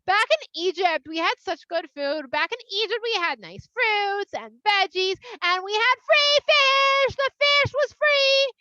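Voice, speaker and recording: A woman's voice: very high-pitched, animated, a little slow, hardly any pauses, bright, forceful tone, no room sound, muffled recording, pitched above the performer's own voice, exaggerated voice. A woman voicing a crowd of hungry, whining wanderers who wail and demand food. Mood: whiny demanding. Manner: whiny, wailing, demanding.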